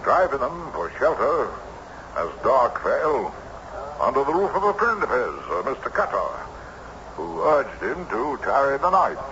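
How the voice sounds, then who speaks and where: A period gentleman spins an eerie tale in an old-time radio drama. He speaks slowly and formally, with theatrical swings of pitch and plenty of suspense. The recording is noisy and muffled. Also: sing-song. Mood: suspenseful.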